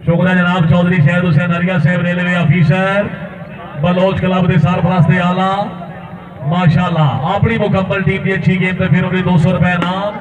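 Punjabi guy doing hyped match commentary, high voice, dull distant sound, shouts out praise to players. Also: completely flat delivery, moderate pace. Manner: exclamatory, praising players and urging applause. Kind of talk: excited volleyball commentary with verse and crowd calls. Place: open-air dirt sports ground with spectators. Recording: distant, dull outdoor PA with noisy crowd.

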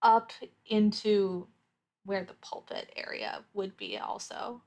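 A woman's voice: medium pitch, fairly even intonation, slow, gravelly, a little mellow. A woman playing a tabletop roleplaying game, talking casually and thinking her answers through aloud in a thoughtful way. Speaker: player in an actual play podcast.

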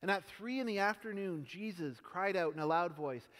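A young man reading scripture aloud in a large church sanctuary, slow and measured, his phrases dropping softly at the ends. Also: high voice. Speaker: scripture reader. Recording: long church hall reverb.

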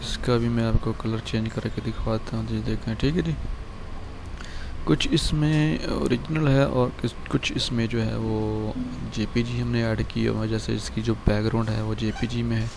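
A man, hosting a screen-recorded software tutorial and calmly walking through a design file with lots of filler words. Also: mid-range voice, creaky, noisy background. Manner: calm, unhurried explaining with many fillers. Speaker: channel host showing a design file.

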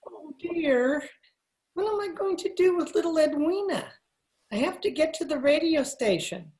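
A man's voice: very high-pitched, expressive, moderate pace, a little mellow. An older man playing a timid, mild-mannered radio director in an amateur old-time radio comedy. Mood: earnest. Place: living room by staircase, video call. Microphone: video call.

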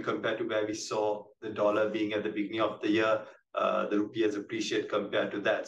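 A man with a Sri Lankan accent answering questions as a company executive on an earnings call, halting and measured, often repeating words. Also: on the low side, a bit bright. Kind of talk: business presentation, answering questions. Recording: roomy, distant mic with clear background noise.

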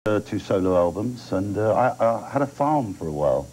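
A man's voice: lowish voice, sing-song, a little mellow, slight background noise, rough recording. An English man in his forties, a TV guest, speaking hesitantly with many halts. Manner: hesitant, halting with fillers. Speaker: guest musician.

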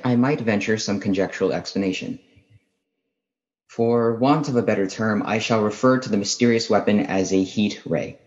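Man as a measured professor, muffled sound. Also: mid-range voice, darkish tone, lightly acted. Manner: formal, pedantic. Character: measured, learned male astronomy professor. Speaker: scientist. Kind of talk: radio play acting, scientific explanation. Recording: dull, muffled lo-fi line.

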